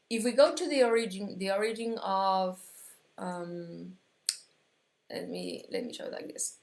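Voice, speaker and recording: Slow Argentine woman teaching in an echoey room. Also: mid-range voice. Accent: Argentine Spanish. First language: Spanish. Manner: halting, searching for words, many restarts. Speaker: metaphysics teacher. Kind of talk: live-streamed spiritual lesson.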